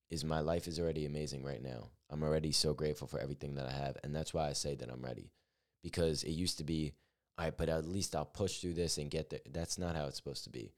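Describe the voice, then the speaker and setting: Very deep-voiced young man, earnest. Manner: earnest, upbeat, rambling with fillers. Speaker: podcast host. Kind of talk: solo podcast monologue.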